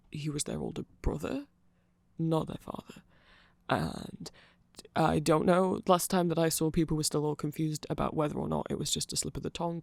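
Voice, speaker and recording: A nerdy, hesitant vampire played by a man talks softly in an ASMR roleplay. The voice is creaky and very dry, close to the mic. He rambles in a self-deprecating, friendly way to one listener. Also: on the high side, no echo. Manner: halting, self-deprecating rambling with small laughs. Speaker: ASMR audio roleplay performer. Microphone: close microphone.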